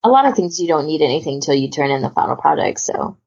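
A woman with a low voice and plenty of fry hosts an informal chat. She rambles along in a self-deprecating way. The recording is a bit muffled and lo-fi. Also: animated, fast, darkish tone. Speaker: podcast host. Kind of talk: casual panel chat.

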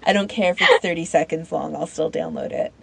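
A woman's voice: sits low, very animated, a little fast, creaky, a bit bright, husky, dry recording. A woman co-hosting a podcast, talking in a casual conversational discussion. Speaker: podcast host.

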